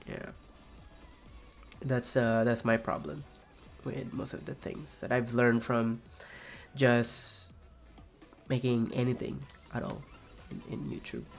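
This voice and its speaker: A young man with a raspy, gravelly voice talks loosely while he plays, trailing off and restarting his sentences. His pitch sits in an ordinary middle range. Faint game music plays underneath. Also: flat delivery, takes it slowly. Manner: rambling, soft-spoken, many fillers and restarts. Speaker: streamer. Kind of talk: casual chat while playing a game.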